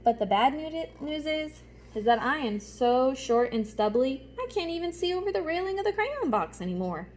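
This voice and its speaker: A woman's voice: high voice, sing-song, normal speed, a little mellow, a little hiss or hum. A woman voicing a short, worn-out blue crayon from a picture book, friendly but pleading. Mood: fond, worn-out.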